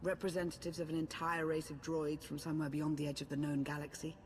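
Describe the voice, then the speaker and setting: A man's voice: a little high, monotone, quick pace, a little hiss or hum, close to an everyday voice. A man playing a composed, scholarly adviser. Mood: measured. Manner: composed, explanatory. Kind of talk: video game cutscene acting.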